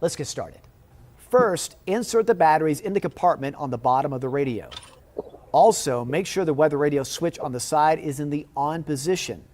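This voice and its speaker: Higher-voiced man giving step-by-step instructions over noise. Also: animated, moderate pace, a little mellow. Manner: clear, orderly step-by-step delivery. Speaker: TV weather presenter giving a how-to demonstration. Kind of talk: scripted instructional narration.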